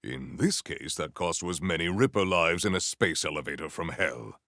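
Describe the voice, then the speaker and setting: A noble video game warrior, with a low voice full of gravelly creak. He is solemn, boastful and valiant, delivering archaic, lofty phrases with sweeping rises and falls. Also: lively intonation, normal speed, fairly bright tone, no echo, studio quality. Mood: grave, triumphant. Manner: formal, archaic, grandiose phrasing. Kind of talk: theatrical video game character lines.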